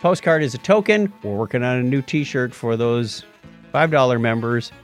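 A man's voice: medium pitch, animated, moderate pace, soft background music. A man co-hosting a casual podcast with playful, jokey banter. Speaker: podcast co-host.

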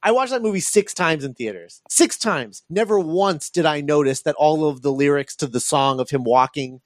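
A man's voice: fairly high pitch, lively intonation, normal speed, no echo. A man co-hosting a podcast in casual film discussion, animatedly acting out imagined reactions as he makes his points. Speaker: podcast co-host.

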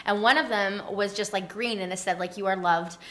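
A woman with a mid-range voice talks as a guest in a loose group conversation. She is chatty and informal, with a playful, animated delivery that sounds like friends swapping stories. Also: mid-range voice, brisk. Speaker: guest on a talk show. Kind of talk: casual, animated group chat.

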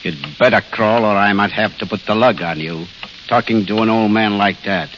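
Casting: male actor as menacing gang boss, forceful, clipped and slangy, vintage radio drama, muffled hissy recording. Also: lowish voice, normal speed, lightly acted. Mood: menacing, curt. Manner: curt, slangy, commanding. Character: tough, menacing gangster boss in command. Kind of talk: 1930s-40s radio drama acting.

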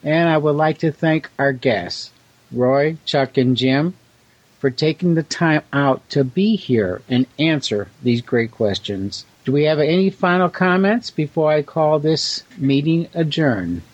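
A man's voice: on the high side, a little slow, no echo. A man moderating a panel in a courteous, ceremonious manner. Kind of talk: formal moderating. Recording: dull, muffled call audio.

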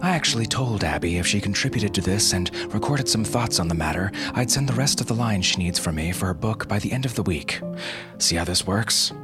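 Man, fairly low pitch, deadpan tongue-in-cheek host, clear background music. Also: a little fast. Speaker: podcast host. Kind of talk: scripted comic podcast hosting. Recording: music behind the voice.

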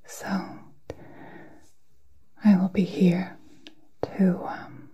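A woman whispering a guided meditation in a soft, breathy, soothing way, with long pauses, as an ASMR host. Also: on the low side, flat delivery, highs cut off. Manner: slow, soothing, halting delivery with long pauses.

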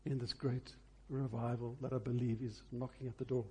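A male preacher delivers a sermon in a dark, mellow voice with a husky grain. His pitch sits in the middle range. He phrases emphatically and deliberately, repeating key words to drive them home. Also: little pitch movement.

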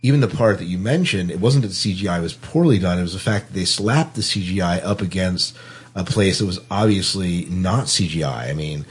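A man's voice: sits low, fairly quick, breathy edge. A man hosting a podcast, talking casually about film in a conversational discussion. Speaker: podcast host.

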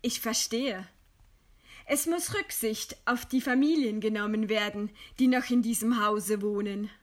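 An actress playing a proud, scornful woman writer facing execution in a radio play, with a deliberate, ironic delivery. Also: on the high side, natural voice. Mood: cool irony. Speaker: radio drama actor. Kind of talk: German radio play acting.

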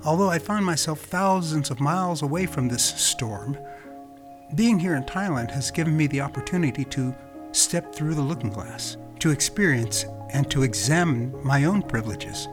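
An American man from Los Angeles, hosting his own podcast with calm, reflective scripted narration. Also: fairly high pitch, normal speed, over music. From Los Angeles, California. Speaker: podcast host.

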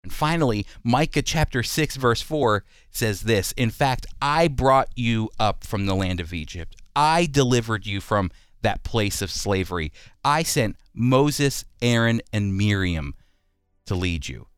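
A man hosting a radio Bible program, teaching and reading scripture in an emphatic, deliberate way with rhetorical questions. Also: lowish voice, animated, clear, resonant tone, no room sound, studio quality.

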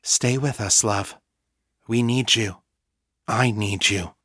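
A gentle woman comrade, voiced by a male narrator, calm yet insistent. The audiobook delivery is clear in intent and soothing, with a close, dry sound. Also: on the low side, takes it slowly, dry recording. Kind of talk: audiobook character acting.

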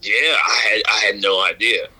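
Male podcast guest, lower register, sharp forceful tone, wry slangy storyteller who repeats himself haltingly. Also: slightly rough, low-quality recording. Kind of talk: casual anecdotal storytelling.

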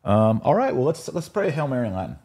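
A man's voice: medium pitch, sing-song, fast, warm tone, slightly rough. A man hosting a podcast in a casual, conversational way. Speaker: podcast host.

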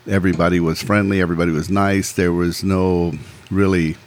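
Deep-voiced man in his sixties, measured. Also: flat delivery. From Peru. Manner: measured, plainspoken recounting. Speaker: podcast guest. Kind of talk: casual interview storytelling.

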